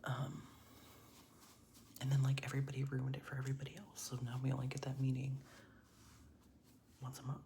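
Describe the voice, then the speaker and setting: A man's voice: mid-range voice, completely flat delivery, a little fast, creaky, pauses often, mellow, slight background noise. A young transgender man whispering a casual, rambling storytime while doing makeup, with lots of "like"s and casual swearing. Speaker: vlogger.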